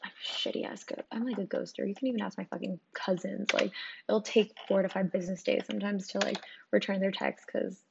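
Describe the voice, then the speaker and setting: A young woman hosting a casual solo podcast with a croaky, hoarse voice, talking candidly with lots of swearing and "like" fillers. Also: medium pitch, fairly even intonation, a little fast. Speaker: podcast host. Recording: dull lo-fi sound with clear noise.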